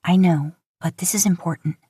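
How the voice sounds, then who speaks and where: A woman's voice: lowish voice, normal speed, mellow, breathy edge, dry recording, clean studio sound. A woman voicing an anxious, earnest young woman in a fan fiction audiobook, nervous and pleading. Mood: nervous, urgent.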